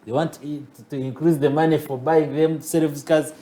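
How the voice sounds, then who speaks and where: A man with a Ugandan accent speaks in a measured way on a talk show. His voice is mellow and warm, and he keeps asking rhetorical questions as he builds his argument. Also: a little high, steady tone. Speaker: advocate guest.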